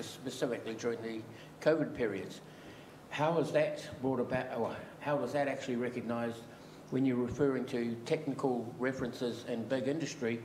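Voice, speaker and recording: A man asking a long, rambling question from the audience without a microphone, talking loudly over the speaker. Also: mid-range voice. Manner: loud, long-winded, talks over others. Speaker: audience member. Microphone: no microphone, room pickup. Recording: echoey room with noise.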